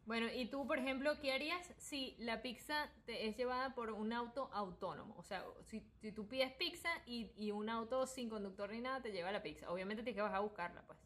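A young Venezuelan woman chatting casually as a podcast host, telling anecdotes in an animated, slangy, joking way. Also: fairly high pitch, brisk, hardly any pauses.

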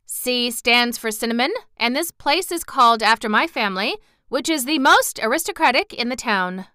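A woman's voice: on the high side, lively intonation, moderate pace, pushed and bright, clean tone, no echo, studio quality, voice pushed up. A woman voicing a portly, self-important bun man in a storybook, stern, stiff and formal. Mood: pompous.

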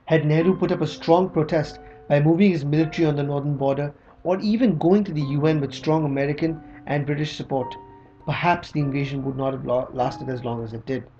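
A man narrating a scripted history documentary in a measured, read-aloud way. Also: a little high, dark tone. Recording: slightly dull audio with music underneath.

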